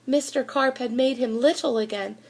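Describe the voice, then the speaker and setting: A woman reading a children's storybook aloud in an expressive narrating style. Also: high voice, moderate pace.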